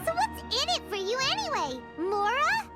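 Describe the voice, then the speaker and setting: Squeaky, very high-pitched little fairy companion. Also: female voice, huge pitch swings, normal speed, full character voice. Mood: suspicious. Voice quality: squeaky, childlike. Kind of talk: video game voice acting. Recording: music playing under the voice.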